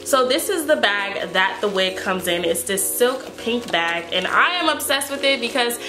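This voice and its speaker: A woman's voice: medium pitch, lively intonation, normal speed. A woman beauty vlogger with a stuffy, congested voice from a cold, chatting casually to viewers and filling her talk with "like" and "um". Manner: chatty, filler-heavy, addresses viewers as "you guys". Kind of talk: casual wig review chat. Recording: echoey room with background music.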